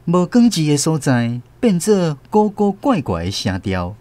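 Lively, wry Taiwanese male storyteller. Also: on the high side, unhurried. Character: wry male storyteller observing a mother, returned from abroad. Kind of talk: radio drama narration in first person.

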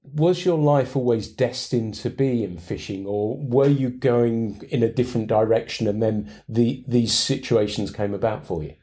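A man's voice: medium pitch, normal speed, few pauses, dark tone. A man hosting a podcast, asking casual, slightly rambling interview questions. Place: indoors in a tackle shop.

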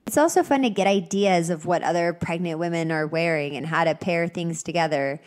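A young woman talks casually on her own podcast, upbeat and rambling with lots of little fillers. Her voice sits a touch low and comes out slightly nasal and congested, as if she is getting over a cold. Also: a little fast. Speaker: podcast host. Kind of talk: casual solo podcast chat.

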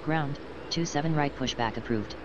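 Low-voiced synthetic woman as airline pilot on radio. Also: normal speed. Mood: brisk, procedural. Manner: flat, formulaic, clipped. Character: computer-voiced airline pilot, brisk and procedural. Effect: radio filter. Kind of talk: clipped air traffic radio readbacks. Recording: dull radio sound over noisy airport ambience.

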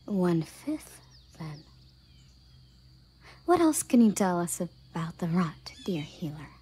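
Woman acting as female elf warrior, very breathy, soft and mellow, formal, honour-bound, haughty, curious, fantasy roleplay audio. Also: fairly low pitch, sing-song, full character voice. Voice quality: soft, breathy, often whispery. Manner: formal, measured phrasing. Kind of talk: scripted roleplay audio drama.

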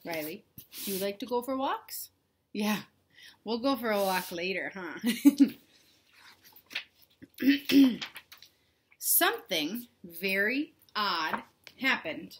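A woman's voice: mid-range voice, lively intonation, slow, long pauses, fairly bright tone, a little hiss or hum. A young woman reading a picture book aloud to young children, expressively, with simple questions for the listeners. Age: young adult. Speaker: children's read-aloud host, teacher. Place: living room at home, indoors.

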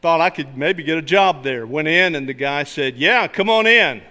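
An older man, a pastor delivering a sermon in an emphatic, deliberate way, speaking from a church pulpit. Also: a little high, lively intonation, bright, forceful tone. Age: older, 60s or 70s. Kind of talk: sermon with illustrations and scripture readings. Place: large church sanctuary, at a wooden pulpit. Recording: reverberant hall sound.

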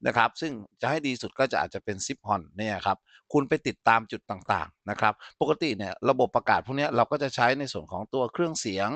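A man giving an informal software tutorial as an instructor, explaining step by step and often checking that listeners follow. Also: mid-range voice, dry recording.

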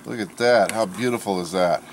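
A man's voice: fairly low pitch, moderate pace. A middle-aged man casually demonstrating cooking outdoors, making offhand, folksy remarks as he works. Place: outdoors in a wooded backyard. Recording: outdoor camcorder with clear clattering background noise. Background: outdoor ambience, pots and cutlery clatter.

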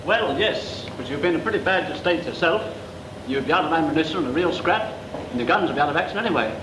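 A dry, practical gunnery instructor, played by a man, corrects trainees in a matter-of-fact tone. His voice sits a little high, with roomy echo and steady hiss from the old soundtrack. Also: fast talker, lightly acted. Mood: instructive. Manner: matter-of-fact, mildly reproving. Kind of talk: 1940s training film acting. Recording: echoey, hissy 1940s film soundtrack.

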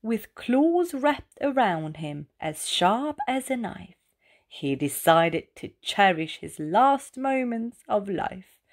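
Very slow, expressive woman storyteller. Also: medium pitch. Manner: dramatic, deliberate phrasing. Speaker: storybook narrator. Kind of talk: expressive rhyming children's read-aloud.

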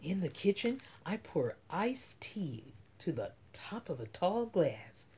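A middle-aged woman reading a children's picture book aloud as story time host, with dramatic, drawn-out emphasis. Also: fairly low pitch, big pitch swings, noisy background. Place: small room at home.

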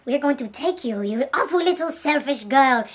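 A woman's voice: high-pitched, lively intonation, moderate pace. A woman voicing a gloating, bullying little orc-like dwarf guard in a taunting, menacing manner, as part of a told story. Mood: gloating menace.

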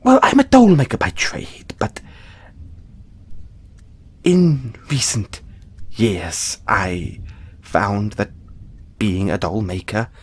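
A man playing an anxious, apologetic dollmaker in an audio roleplay, stammering and halting as he speaks to the listener. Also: on the low side, big pitch swings, breathy edge, full character voice. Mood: flustered, alarmed, then wistful, grumbling. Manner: stammering, halting, flustered, placating. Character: anxious, apologetic male dollmaker who dabbles in soul magic.

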